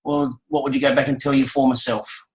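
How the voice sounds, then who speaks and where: A man's voice: medium pitch, level tone, fairly quick. Echoey, hesitant man interviewing. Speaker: guest interviewer. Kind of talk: casual interview. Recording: roomy, echoing sound.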